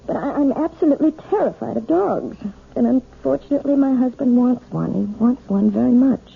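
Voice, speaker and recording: Gentle, anxious husky-voiced piano teacher. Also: female voice, sits high, lively intonation, brisk, exaggerated voice. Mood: hesitant, confiding. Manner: gentle, hesitant, evasive. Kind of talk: 1970s radio drama acting. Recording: muffled, phone-like old radio recording.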